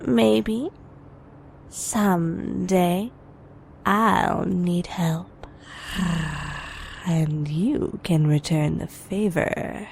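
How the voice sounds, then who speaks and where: A woman's voice: on the low side, drawn-out words, creaky, pauses often, darkish tone, full character voice. A woman playing a sly, seductive, coolly self-serving cat burglar in an audio drama, teasing and guarded. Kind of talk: fan audio drama acting. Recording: faint ambient noise behind voice.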